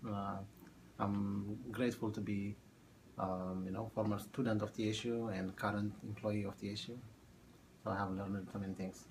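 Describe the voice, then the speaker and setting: A man in his thirties from Ethiopia, a math teacher answering oral history questions in a halting way with many fillers. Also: lowish voice, flat delivery, background noise. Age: 30s.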